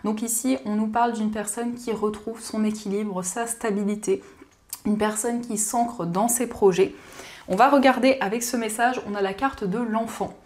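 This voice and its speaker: A woman giving a gentle, soothing daily card reading, slightly hoarse from a cold. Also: medium pitch, fairly even intonation, moderate pace. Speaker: card reader.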